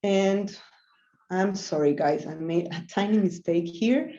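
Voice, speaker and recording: Woman from venezuela walking thru a design demo, slow and mellow, lots of ums, echoey lo-fi call audio. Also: mid-range voice. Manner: easygoing, many ums and self-corrections. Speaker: web designer giving a live tutorial. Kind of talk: live screen-share tutorial. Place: small office room, indoors. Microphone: laptop webcam mic on a video call. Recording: roomy, dull lo-fi call audio.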